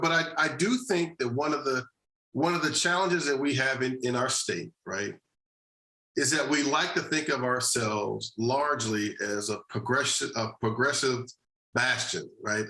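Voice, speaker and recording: A man from New Jersey, a social justice advocate and guest, making his case in a measured, deliberate way and repeating key phrases. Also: mid-range voice, sharp tone, roomy sound, rough recording. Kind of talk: earnest policy discussion.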